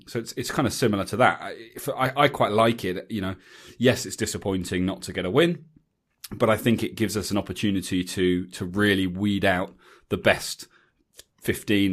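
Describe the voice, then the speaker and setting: A man's voice: sits low, fairly even intonation, moderate pace. An English man hosting a rugby podcast, chatting casually and rambling with frequent restarts and ums. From England. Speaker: podcast host. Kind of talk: casual chat.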